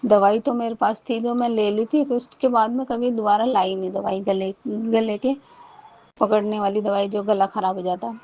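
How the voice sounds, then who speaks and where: A woman's voice: mid-range voice, fairly even intonation, moderate pace, dark tone. A woman, telling a personal devotional testimony in a rambling way, with many restarts and repeated thanks.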